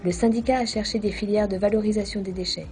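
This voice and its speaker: A woman reading a scripted documentary narration in a measured, formal manner. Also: mid-range voice, steady tone, a little mellow, faint music behind.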